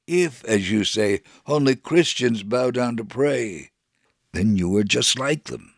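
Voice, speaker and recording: A man reading a scripted first-person religious narrative in a measured, solemn, dramatic way. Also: mid-range voice, expressive, creaky, no echo, studio quality. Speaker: storyteller on a religious radio program.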